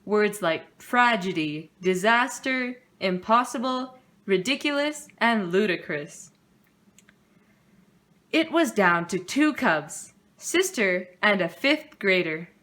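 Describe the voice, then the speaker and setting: A woman reading a children's storybook aloud in a deliberate way for young listeners. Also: medium pitch, animated, a bit bright. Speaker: storybook reader.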